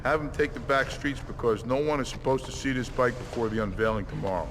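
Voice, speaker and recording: An actor playing a blunt, demanding bike-shop boss in a video game cutscene. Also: male voice, medium pitch, quick pace, background noise, close to an everyday voice. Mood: urgent, bossy. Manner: blunt, bossy.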